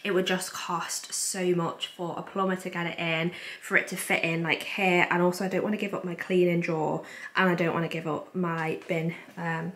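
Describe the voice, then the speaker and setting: A woman's voice: lowish voice, flat delivery, moderate pace, fairly bright tone. A young woman in her twenties chatting casually while showing her kitchen and bathroom, self-deprecating and full of little ums. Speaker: homemaking vlogger. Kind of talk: casual clean-with-me chat. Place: home kitchen and bathroom indoors.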